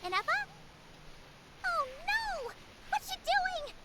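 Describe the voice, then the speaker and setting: Very high squeaky childlike fairy. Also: female voice, big pitch swings, slow, noisy background, exaggerated voice. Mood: excited, curious. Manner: exclamatory, eager. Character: tiny excitable female fairy companion, childlike, squeaky. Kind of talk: video game voice acting.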